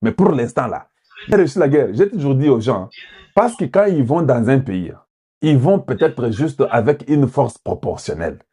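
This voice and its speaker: Animated man in political commentary. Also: medium pitch, normal speed, husky. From Congo. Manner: rhetorical, asks the audience questions, mixes in Lingala. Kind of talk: political commentary addressing viewers.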